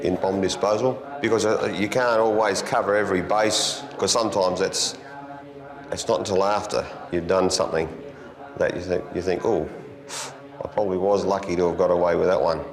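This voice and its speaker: A bomb disposal expert speaking in an easygoing, reflective way. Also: male voice, sits low, fairly even intonation, a little fast, roomy sound, crowd noise. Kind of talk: interview and casual chat.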